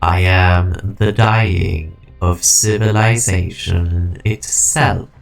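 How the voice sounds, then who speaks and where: A man acts out an ancient synthetic guardian of a dying civilization. He speaks very slowly and gravely, with a creaky, lowish voice and weary grandeur. Music plays under this game fan-dub performance. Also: steady tone, full character voice. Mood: grandiose, bleak. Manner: grandiose, slow, ponderous. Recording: music behind the voice.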